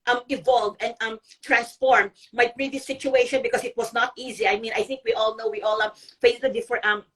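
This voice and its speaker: A woman's voice: a little high, fairly even intonation, brisk, fairly bright tone, recorded from a distance, low-quality recording. A middle-aged woman from the Philippines, a guest blogger on a live video interview, speaking earnestly with many ums and restarts. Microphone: video call.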